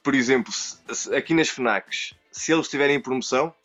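A man's voice: mid-range voice, a little fast, fairly bright tone, faint music behind. Guest, male, European Portuguese accent, rambling casual talk, slightly muffled sound. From Brazil. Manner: rambling, self-correcting. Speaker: podcast guest. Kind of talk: casual chat.

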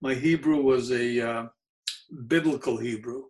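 Older man in his 70s, New York accent, Yiddish native, mellow and halting, slow-paced, mid-pitched, for an oral history interviewee. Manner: mellow, unhurried, halting with frequent fillers.

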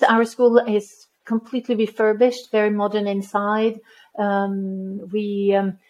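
A woman from Norway in her fifties speaks with a mellow, rather even voice. She answers interview questions at a measured pace, pausing on ums and restarting phrases. Her tone stays level. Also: medium pitch. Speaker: head of school, guest.

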